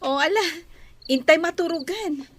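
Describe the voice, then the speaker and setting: A woman's voice: very high-pitched, moderate pace, slight background noise. A woman with a Filipino accent playing a meek, dutiful young worker and daughter in a radio drama. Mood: dutiful, affectionate. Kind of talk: Ilocano radio drama acting.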